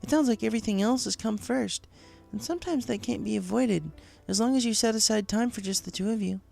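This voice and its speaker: A performer voicing a calm, caring female pony who advises a friend in a story. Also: mid-range voice, fairly quick, vocal fry, faint music behind, pitched above the performer's own voice. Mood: advising.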